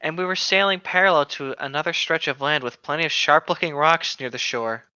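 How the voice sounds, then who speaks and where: A man's voice: fairly high pitch, brisk, sharp tone, clean tone, no room sound. A man doing a casual comic read-aloud with irreverent joking asides, on a slightly dull close recording. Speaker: fan fiction podcast reader.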